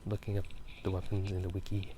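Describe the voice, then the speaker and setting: A man whispering a casual, rambling ASMR chat in a halting, unhurried way while out walking through a town at night. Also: deep voice, completely flat delivery, background noise. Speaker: ASMR video host.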